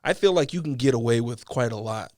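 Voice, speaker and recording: A man on a podcast talking things over at an easy, wandering pace, full of "um" and "like" as he thinks aloud. His voice sits in a middle pitch. The sound is dry and close, with no room around it. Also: animated, a little fast, no room sound. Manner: thoughtful, rambling with many fillers. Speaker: podcast co-host. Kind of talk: casual chat.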